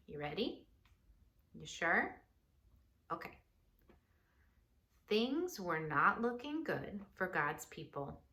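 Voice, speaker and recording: A woman reads a children's story aloud with a bright, forward voice. Her pace is slow and expressive, with dramatic pauses and conversational asides to the listeners. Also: mid-range voice.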